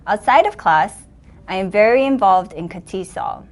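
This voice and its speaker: A woman's voice: medium pitch, lively intonation, moderate pace. A woman English instructor and teacher trainer introducing herself in a composed, professional way. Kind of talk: scripted self-introduction.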